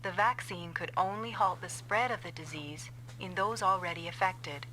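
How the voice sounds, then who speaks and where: An actress playing a vengeful female scientist in an anime-style game dub, explaining coldly and deliberately. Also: fairly low pitch, bright, forceful tone, slight background noise, low-quality recording. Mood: grim, confessing. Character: vengeful female scientist confessing a revenge plot.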